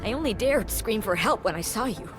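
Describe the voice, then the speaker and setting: An actress playing a shaken but plucky construction crew leader in a video game, earnest and plain-spoken. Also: female voice, medium pitch, animated, a little fast, over music, natural voice. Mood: earnest, frank.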